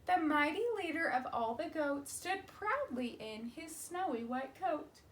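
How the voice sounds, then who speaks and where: A woman reads a children's story aloud like a teacher, with expressive emphasis. Her voice is high and bright, and she takes her time with each line. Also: unhurried.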